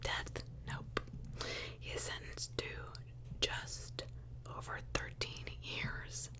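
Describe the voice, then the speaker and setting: Slow, fully whispering woman storyteller. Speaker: ASMR true crime storyteller. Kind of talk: whispered ASMR true crime narration.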